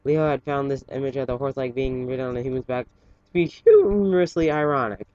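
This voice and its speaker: A man's voice: medium pitch, fairly quick, a little mellow, no room sound. A man reading a story aloud as an amateur, informally, sometimes stumbling over words. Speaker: fan fiction reader for an online channel. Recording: dull, lo-fi home recording.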